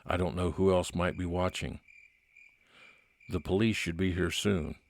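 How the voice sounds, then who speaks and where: A man playing a level-headed, determined 1950s private investigator, narrating in a measured old-time radio detective style. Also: sits low, steady tone, gravelly. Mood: measured, resolute.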